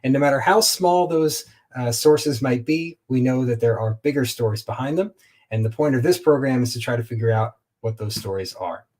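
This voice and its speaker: A man hosting a live online program, explaining things to viewers in a clear presenter's manner. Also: mid-range voice, normal speed, a little mellow. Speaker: host.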